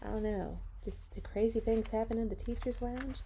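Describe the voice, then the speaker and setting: A woman reading a children's story aloud with dramatic, deliberate phrasing and chatty, playful asides. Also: on the high side, steady tone, mellow, a little hiss or hum. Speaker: read-along storyteller for children. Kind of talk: expressive picture-book read-aloud with chatty asides.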